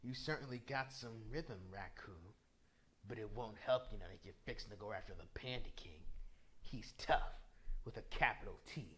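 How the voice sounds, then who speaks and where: A man's voice: lowish voice, moderate pace, fairly bright tone, lightly acted. A man voicing a sly female alligator voodoo priestess from a video game, theatrical, drawling and taunting. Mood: grudging warning. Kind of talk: video game villain fan voice-over.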